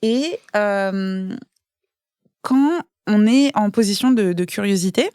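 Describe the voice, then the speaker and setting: A woman's voice: mid-range voice, expressive, slow, a little mellow, clean tone, dry recording, clean studio sound. A woman from France, a guest author, talking through her thoughts in a casual podcast chat, with lots of hesitations and restarts. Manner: thoughtful, many fillers and restarts.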